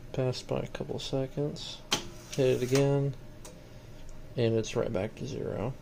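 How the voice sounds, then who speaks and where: A man's voice: mid-range voice, fairly even intonation, unhurried, gravelly. A man giving a casual, matter-of-fact walkthrough of equipment he is selling, plain-spoken, in a noisy home-video recording. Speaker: seller demonstrating equipment.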